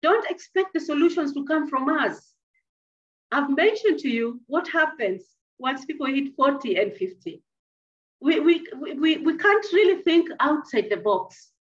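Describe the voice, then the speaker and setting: A middle-aged woman giving an emphatic, deliberate motivational address over a video call from a small office. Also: sits high, animated. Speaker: speaker addressing youth at a conservation dialogue. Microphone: computer mic on a video call. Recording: dull, lo-fi video call audio.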